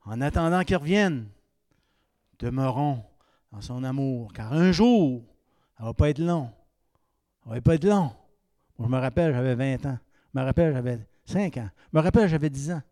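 A man in his fifties with a Québécois French accent, preaching a sermon that swings between raised exhortation and quiet, confiding asides. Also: mid-range voice, animated, normal speed, pauses often, dry recording. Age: 50s.

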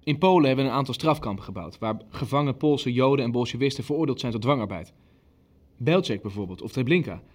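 Guy acting as a cold decisive nazi official in a radio drama, matter-of-fact, mid-pitched male voice. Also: animated, fast, close to an everyday voice. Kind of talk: Dutch radio play dialogue.

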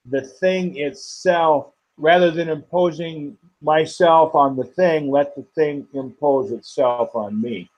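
A man, a poet and guest, speaking slowly and haltingly with many fillers in an interview. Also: a little high, highs cut off. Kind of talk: interview and poetry reading.

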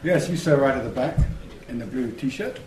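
A man chairing a question session, brisk and directive. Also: medium pitch. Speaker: moderator. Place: lecture hall. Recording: roomy hall with noise.

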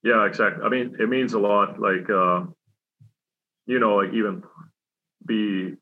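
Young pro-athlete interviewee from Switzerland: very low, gravelly voice, halting delivery full of fillers, dull lo-fi recording. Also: male voice, steady tone. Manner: halting, many fillers and restarts. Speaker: hockey player. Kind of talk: casual interview answers. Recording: dull lo-fi sound.

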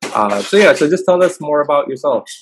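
A man's voice: fairly high pitch, expressive, a little fast, slight background noise. A man hosting a podcast interview, talking in a laid-back, slangy way with lots of fillers. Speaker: podcast host. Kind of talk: casual interview chat.

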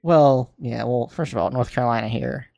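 An American man chatting casually and telling stories in a rambling, animated way. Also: mid-range voice, moderate pace, vocal fry, no echo, clean studio sound. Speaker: podcast co-host. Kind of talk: casual chat, storytelling.

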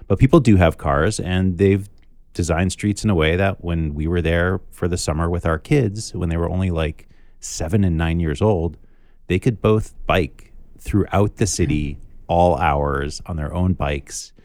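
A man co-hosting a podcast, chatting casually in a relaxed, friendly way. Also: low-pitched, unhurried, no room sound. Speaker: podcast co-host. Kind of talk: casual chat.

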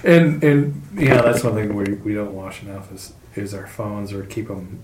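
A man in his fifties co-hosting a podcast in casual chat, easygoing and quick to laugh. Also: on the low side, steady tone, darkish tone, a little hiss or hum. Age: 50s. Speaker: podcast co-host. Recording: roomy room sound.

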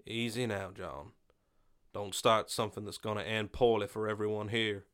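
A man voicing a weary, measured male sheriff in a Western story. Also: on the low side, little pitch movement, fairly bright tone, smooth, lightly acted. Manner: measured, apologetic.

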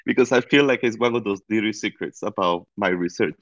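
Low-voiced man with a Costa Rican accent. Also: a little fast, dry recording, muffled recording. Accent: Costa Rican Spanish. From Costa Rica. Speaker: interview guest, professor. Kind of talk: conversational interview.

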